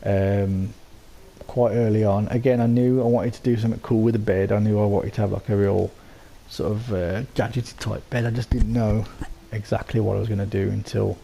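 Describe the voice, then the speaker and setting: A man with a sore throat and a croaky voice, casually talking through how he built something, rambling with lots of ums and restarts. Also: fairly low pitch, level tone, brisk, dark tone, a little hiss or hum. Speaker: game settlement builder. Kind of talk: casual voice-over walkthrough.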